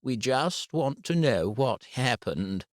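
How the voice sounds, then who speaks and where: A man voicing a calm, formal female head teacher in an audiobook, speaking patiently and carefully. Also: medium pitch, slow, no room sound, studio quality, voice pushed up. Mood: measured.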